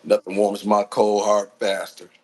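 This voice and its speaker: A man co-hosting a casual live stream who teases and cracks deadpan jokes. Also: on the low side, unhurried, background noise. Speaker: livestream co-host.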